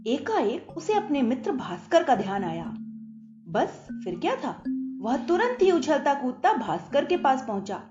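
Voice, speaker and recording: High-pitched, animated woman storyteller. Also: measured pace. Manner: expressive, dramatised reading. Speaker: children's storyteller. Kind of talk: scripted story narration for children. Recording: echoey dull recording under loud music.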